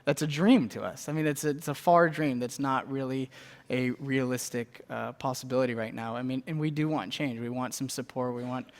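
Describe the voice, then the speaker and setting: A young man, a teacher, speaking on a panel in a hesitant, halting way. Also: mid-range voice, fairly even intonation. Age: young adult. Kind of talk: panel discussion.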